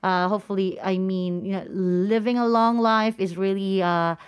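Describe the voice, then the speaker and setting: A woman radio host speaks in a casual, chatty way. She has a medium-pitched voice and a playful, teasing manner, as in a live studio conversation. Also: fairly even intonation, normal speed, smooth.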